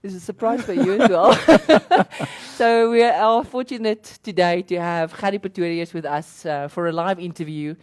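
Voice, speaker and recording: A woman's voice: on the low side, darkish tone. Giggly South African woman hosting an interview. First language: Afrikaans. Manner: giggly, halting, lots of ums and restarts. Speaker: company interviewer and host. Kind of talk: casual corporate interview.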